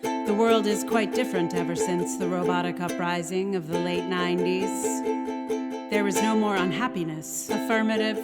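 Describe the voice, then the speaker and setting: A woman playing a smug, deadpan robot from the future in a comic song over ukulele. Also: on the low side, over loud music, pitched below the performer's own voice, natural voice. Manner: deadpan, measured. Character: deadpan robot from the future, smugly ruling the world.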